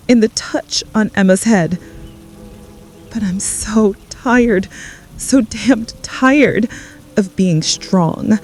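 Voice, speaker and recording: Woman doing a tired scared survivor mom, radio drama, super slow n mellow, halting, bit of rain noise. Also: medium pitch. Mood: weary. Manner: slow, halting, confessional delivery in short phrases. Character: exhausted frightened mother, apocalypse survivor, weary and haunted. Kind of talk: scripted radio drama monologue. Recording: steady rain noise behind voice. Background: rain ambience.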